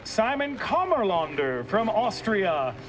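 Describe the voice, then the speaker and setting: A man's voice: sits high, very animated, slow. A man calling a ski race as a live sports commentator.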